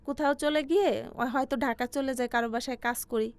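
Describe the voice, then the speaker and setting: A Bangladeshi woman in her forties with a highish voice shares her personal story as a guest. Her telling is halting, and she often circles back to repeat a phrase before moving on. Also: steady tone. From Bangladesh, Faridpur region. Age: 40s.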